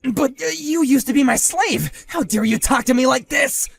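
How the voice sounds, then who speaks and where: A man voicing a hotheaded bully husband who shouts, whines and stammers when cornered, in a one-man voiced drama. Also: on the high side, animated, fast talker, no echo, soft background music, natural voice. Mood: furious. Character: hotheaded male bully husband, panicky and blustering.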